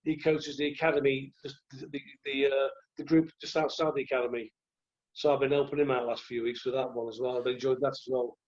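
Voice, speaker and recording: A croaky-voiced man, telling rambling stories as a podcast guest. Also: medium pitch, fairly even intonation, a little fast, a little mellow, dull audio. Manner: rambling, restarts often. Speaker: guest, former goalkeeper. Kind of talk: relaxed anecdotal chat.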